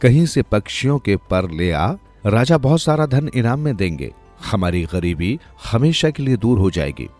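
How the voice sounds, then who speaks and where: Guy doing a fed-up farmer dad from a folk tale, low voice, really animated pitch. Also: moderate pace, few pauses, soft background music. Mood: scolding, urging. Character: poor exasperated farmer father, scolding his son. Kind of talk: storybook character dialogue.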